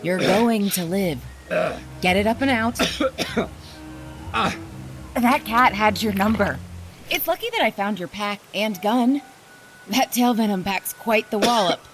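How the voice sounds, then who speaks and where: A woman's voice: medium pitch, expressive, unhurried, long pauses, lightly acted. An actress playing a cool, taunting young female survivor in a science fiction audio drama, with a mocking, teasing manner. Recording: prominent music underneath.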